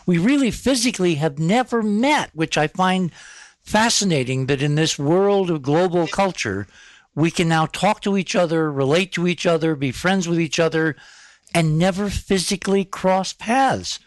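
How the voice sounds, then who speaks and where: A man with a slightly high voice talks as a radio interviewer. His delivery is conversational, and the sound is dry, with no room around it. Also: normal speed, no echo.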